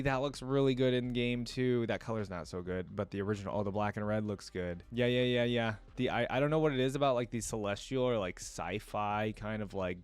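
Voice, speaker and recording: A man's voice: mid-range voice, darkish tone, smooth, no echo. A man hosting a reaction video, talking casually and thinking aloud as he addresses his viewers.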